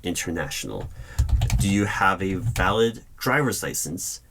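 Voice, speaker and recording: A man playing a polite, guarded hiring manager in an ASMR interview roleplay, speaking aloud in a measured, formal, deliberate way with pauses. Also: low-pitched, gravelly. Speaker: roleplay performer as job interviewer. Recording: steady noise with keyboard typing clicks. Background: computer keyboard typing and clicks.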